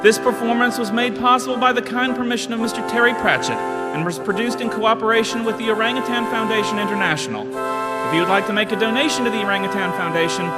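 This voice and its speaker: A man's voice: sits high, fast. A man reading scripted credits as the announcer of a live radio theater show. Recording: live show with loud music underneath.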